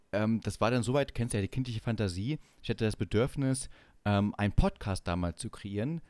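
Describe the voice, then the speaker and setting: A man's voice: fairly low pitch, darkish tone, dry recording. A man in his forties from East Germany chatting casually as a podcast co-host, telling stories with teasing banter. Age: 40s. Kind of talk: casual chat, storytelling.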